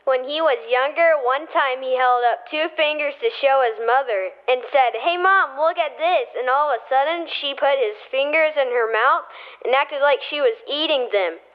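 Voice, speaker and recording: A woman's voice: high-pitched, normal speed, natural voice. A female performer playing a mischievous young kid sharing gross-out stories on a voicemail in a radio play. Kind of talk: scripted radio play acting. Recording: dull lo-fi voicemail.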